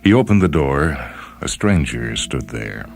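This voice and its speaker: An extremely deep male voice narrates fiction as a host and reader. The delivery is deliberate and measured, a scripted dramatic reading with music clearly running underneath. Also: sing-song.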